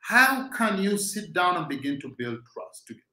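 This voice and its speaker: A man's voice: high-pitched, very animated, normal speed, sharp tone, reverberant, poor audio. A Kenyan man with a Swahili-speaking background, giving a rousing, preacher-like motivational talk as host.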